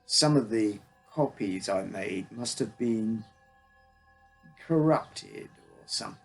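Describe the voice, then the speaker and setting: A man's voice: fairly low pitch, lively intonation, takes it slowly, vocal fry, slightly rough, soft background music, heavily acted. A man reading a story, voicing a secretive, apologetic father who confides in his young son in a matey way. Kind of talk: character dialogue in a read story.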